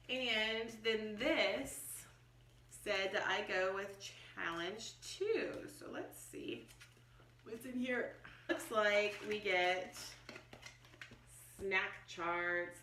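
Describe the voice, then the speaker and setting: A woman's voice: medium pitch, fairly bright tone. A middle-aged American woman chatting informally as she explains things in a casual unboxing review. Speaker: homeschooling vlogger and product reviewer. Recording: roomy echo, distant mic.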